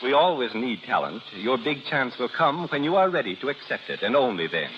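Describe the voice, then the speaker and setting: Male drama coach, 1930s radio speech. Earnest, oratorical, animated intonation, mid pitch, dull vintage recording. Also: brisk. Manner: earnest, oratorical, formal, emphatic lists. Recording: muffled 1930s radio recording, faint noise.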